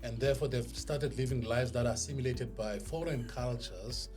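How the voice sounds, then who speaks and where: A South African man speaking as a podcast guest, a professional speaker telling his story in a deliberate, emphatic, oratorical way. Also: medium pitch. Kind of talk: motivational storytelling in an interview. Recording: music behind the voice. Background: music.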